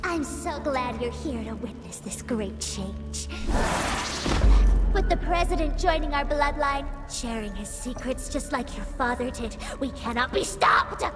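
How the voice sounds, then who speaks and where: High-voiced grandiose vampire villainess. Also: female voice, measured pace. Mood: triumphant, righteous. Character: grandiose, self-assured female vampire villain leader. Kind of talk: video game cutscene acting. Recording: long echoing hall reverb. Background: loud dramatic game music.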